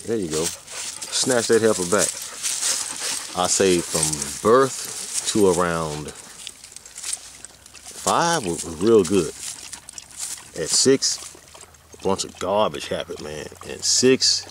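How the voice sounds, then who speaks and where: A low, deep male voice around forty talks off the cuff in a loose, folksy ramble. It is a casual vlog recorded outside, with noticeable ambient noise around him. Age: about forty. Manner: folksy, colloquial, drifting into asides to his dogs. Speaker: vlogger. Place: outdoors on a hike. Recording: noisy outdoor ambience.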